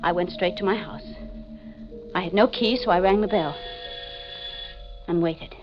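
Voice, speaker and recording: A woman's voice: lowish voice, unhurried, natural voice. An actress playing a distraught, insistent wife who has lost her identity, in melodramatic 1940s radio mystery style. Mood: haunted. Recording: muffled old 1940s radio recording, organ music.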